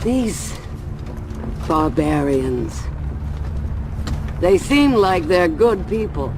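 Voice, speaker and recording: Scheming game warlord, theatrical menace, highish swooping pitch, clear noise behind. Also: male voice, big pitch swings, extremely slow, exaggerated voice. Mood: cold menace. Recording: heavy background noise.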